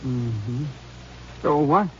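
A man's voice: medium pitch, lively intonation, drawn-out words, fairly bright tone. An actor playing a nervous, defensive young man under suspicion in an old radio drama, speaking in a jumpy, defensive way. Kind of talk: 1950s radio drama acting. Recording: muffled old radio broadcast with hiss.

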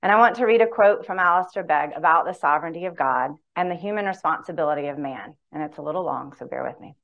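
A woman's voice: deep voice, fast talker, creaky, fairly bright tone, lo-fi sound. A woman speaking earnestly and candidly as she teaches a women's Bible study in a church hall. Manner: earnest, candid, reads long quotes aloud.

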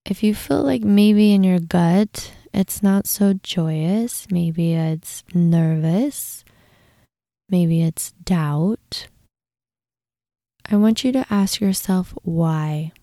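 Female meditation host. Slow, lowish voice, gentle and hushed at times, soothing instructional read. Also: dry recording, studio quality.

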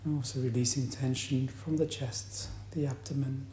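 A man leading a guided meditation as a teacher, speaking in a calm, deliberate manner with long pauses. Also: mid-range voice. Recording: roomy echo, faint background noise.

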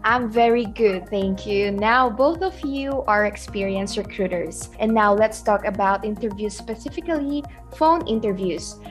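A woman's voice: mid-range voice, unhurried, hardly any pauses, faint music behind. A woman hosting a podcast, putting scripted interview questions to guests. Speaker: podcast host interviewing recruiters.

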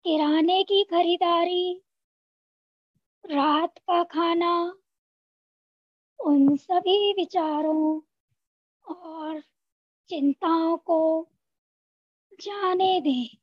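Very slow, high-voiced Indian woman guiding relaxation. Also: fairly even intonation, dry recording, highs cut off. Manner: slow, pausing between short phrases, instructing gently. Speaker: guided relaxation narrator. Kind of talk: scripted guided meditation narration.

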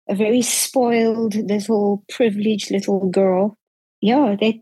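A woman from South Africa speaks as an interview guest about her upbringing. She talks very slowly and hesitantly, repeating words as she searches for them. Her voice is mellow and husky, recorded dry. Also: mid-range voice, dry recording, low-quality recording. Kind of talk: casual interview testimony.

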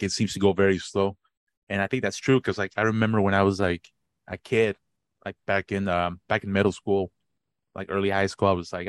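A man in his late twenties co-hosting a podcast, chatting casually, rambling and swearing freely with frequent laughs. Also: deep voice. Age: 20s. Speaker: podcast co-host. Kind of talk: casual chat.

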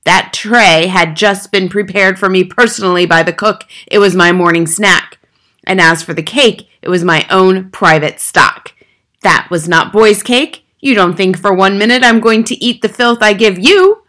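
A woman's voice: mid-range voice, moderate pace, fairly bright tone, pitched above the performer's own voice, close to an everyday voice. A woman voicing a tyrannical, bullying headmistress in a children's audiobook, barking out insults in a rage. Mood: raging accusation.

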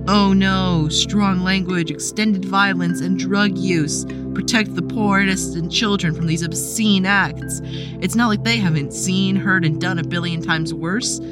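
A woman playing a sardonic, world-weary seventeen-year-old patient in an audio drama, dry and hesitant. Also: low voice. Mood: mocking. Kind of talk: audio drama monologue and dialogue. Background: music.

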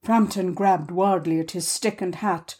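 A woman narrating a short story as a reader, measured and expressive, with dramatic pauses. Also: lowish voice, breathy edge. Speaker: audiobook reader.

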